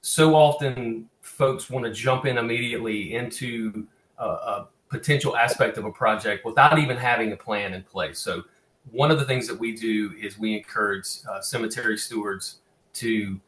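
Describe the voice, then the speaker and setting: A man's voice: fairly low pitch, moderate pace, fairly bright tone. A middle-aged man with a Southern US accent giving an informal online lecture, often repeating words as he goes. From American South. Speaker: guest presenter, cemetery conservation consultant. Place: online video call, echoey room. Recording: roomy, echoing webinar audio.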